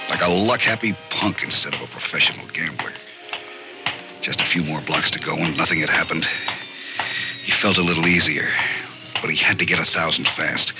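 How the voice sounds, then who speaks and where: An actor playing a grimly cool hard-boiled narrator of an old radio crime story, terse and dramatic. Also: male voice, extremely low pitch, low-quality recording. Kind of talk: scripted noir narration, 1940s radio. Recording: old radio recording under loud music.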